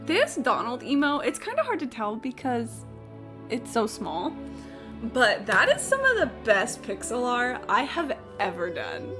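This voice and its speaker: High-voiced, animated woman streamer. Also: a little slow. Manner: sweary, expressive, chatty. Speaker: live game streamer. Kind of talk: casual livestream chat over gameplay. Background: faint game music.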